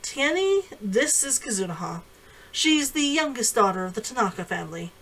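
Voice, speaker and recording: A woman's voice: a little high, big pitch swings, normal speed, fairly bright tone, exaggerated voice, poor audio. A woman reading a storybook part as an irritable young nobleman with a blunt manner. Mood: disdainful.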